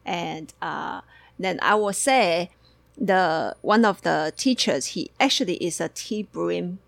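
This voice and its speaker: A woman from Taiwan co-hosting a casual chat, talking in halting bursts with many restarts and fillers. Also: fairly low pitch, creaky, dry recording. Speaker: co-host.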